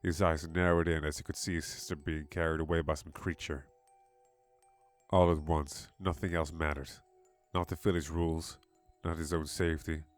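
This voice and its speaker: A man's voice: a bass voice, little pitch movement, normal speed. A man giving a dramatic scripted narration of a horror story. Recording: music behind the voice.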